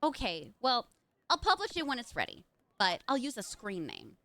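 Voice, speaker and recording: A woman voicing Nora, a calm, modest young woman artist, with a soft, level, reassuring delivery. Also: a little high, normal speed, dry recording.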